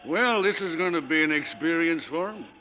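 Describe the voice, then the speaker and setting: A man's voice: fairly high pitch, expressive, moderate pace, sharp tone. An actor playing a stubborn, indignant old father in a 1940s radio drama, blustering and defiant. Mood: uneasy. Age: older. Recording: dull vintage 1940s radio recording.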